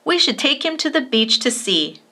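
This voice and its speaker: Casting: excitable storybook boy, voiced by a woman, high, bright and earnest. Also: normal speed, a little hiss or hum, heavily acted. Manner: exclamatory, eager. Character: earnest, excitable young boy, devoted to his kite.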